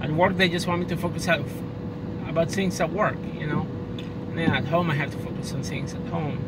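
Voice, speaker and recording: A woman's voice: low voice, fairly even intonation, brisk. Puerto Rican woman vlogging from her car. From Puerto Rico. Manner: rambling, thoughtful, frequent "you know" asides. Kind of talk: casual personal vlog. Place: inside a car. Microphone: phone camera mounted on the dashboard. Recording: distant mic with loud car road noise.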